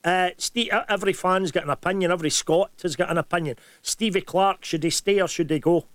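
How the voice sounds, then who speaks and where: A Scottish man co-hosts a radio show with blunt, lively banter. His voice sits a little high, and the studio sound is dry and close. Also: brisk, dry recording. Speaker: radio co-host. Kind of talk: casual radio chat.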